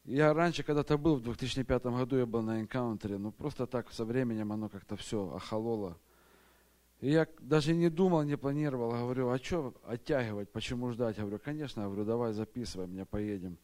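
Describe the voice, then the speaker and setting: A man's voice: medium pitch, fast, a little mellow. A man giving an earnest, grateful church testimony in plain everyday words. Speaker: retreat participant giving testimony.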